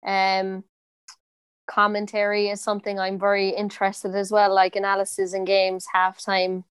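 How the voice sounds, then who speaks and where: A woman in her twenties with a strong Cork accent, answering interview questions in a relaxed way with plenty of fillers. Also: mid-range voice, moderate pace, clear, resonant tone, no room sound. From Ireland. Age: 20s. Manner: relaxed, many fillers and restarts. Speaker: interviewee, footballer. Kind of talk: casual interview.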